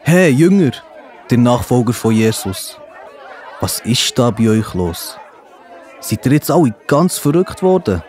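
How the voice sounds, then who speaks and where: A man's voice: mid-range voice, very animated, unhurried, darkish tone, some chatter behind, slight background noise. A man playing a mocking, baffled townsman calling out in a children's Bible audio drama.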